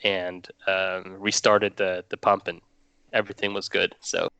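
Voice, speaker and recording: Bright, crisp young man chatting casually. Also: low voice, little pitch movement, a little slow, no room sound, low-quality recording. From Norway. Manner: rambling, restarts phrases often. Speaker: guest hobbyist. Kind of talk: casual chat.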